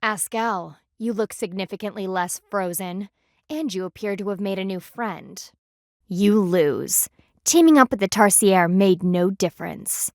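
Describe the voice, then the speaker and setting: A woman's voice: medium pitch, unhurried, no room sound, clean studio sound, close to an everyday voice. A woman voicing a stern, proud female panda warrior in a video game, with formal, clipped and dryly sardonic diction. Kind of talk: video game character voice lines.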